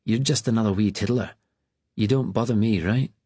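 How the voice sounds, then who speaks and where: A man's voice: on the low side, normal speed, warm tone, husky, no room sound, voice pushed up. A man voicing a cold, stony teenage boy prefect with a Scottish accent, curt and impatient. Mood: cold, dismissive.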